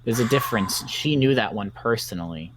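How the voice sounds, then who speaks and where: A woman's voice: very deep voice, expressive, brisk, noisy background. A woman acting as a hard-hearted, sarcastic survivor doctor in a zombie apocalypse game roleplay. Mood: cold, defensive. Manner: blunt, sarcastic, unsentimental.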